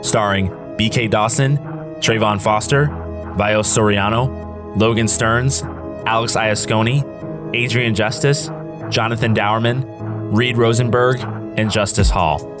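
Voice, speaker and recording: Lowish-voiced male credits announcer. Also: steady tone, a little slow, long pauses, over music. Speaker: announcer reading end credits. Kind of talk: scripted credits narration.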